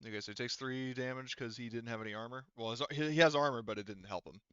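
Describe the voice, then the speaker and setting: A man running a casual online tabletop roleplaying game as game master, thinking aloud haltingly as he works through the rules. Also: medium pitch, fairly bright tone, smooth, no echo, muffled recording.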